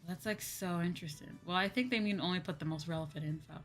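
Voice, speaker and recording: Female streamer, lowish voice, informal rambling chat over clear background music. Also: fast. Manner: informal, conversational, frequent "like" and "you know". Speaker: VTuber livestream host. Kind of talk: casual livestream chat with viewers.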